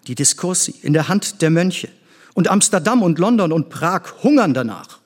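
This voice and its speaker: Middle-aged scientist, fairly high voice, earnest, intense, theatrical delivery, stage drama. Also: male voice, big pitch swings, normal speed. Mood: stunned, urgent.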